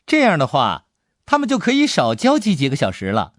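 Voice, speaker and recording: A man voicing an eager, excitable young boy adventurer in an audiobook, with a childlike manner. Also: a little high, a little fast, no room sound, studio quality. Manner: eager, bright-eyed, childlike. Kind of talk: audiobook character dialogue.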